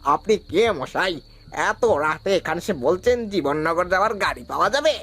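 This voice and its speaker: A man playing a curt, suspicious, irritable tea-stall keeper in an animated horror story. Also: high-pitched, moderate pace, faint music behind. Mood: irritated.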